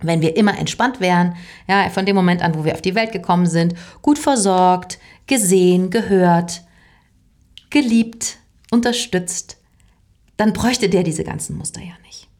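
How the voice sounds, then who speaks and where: A middle-aged woman voice teacher explaining her work in a calm, thoughtful way, sometimes dropping into a soft whisper. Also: lowish voice, normal speed. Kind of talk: reflective explanatory monologue.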